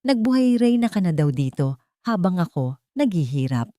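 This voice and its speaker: A woman voicing a drunk, bitter estranged husband who sneers and shouts threats. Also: lowish voice, sing-song, moderate pace, no echo, clean studio sound.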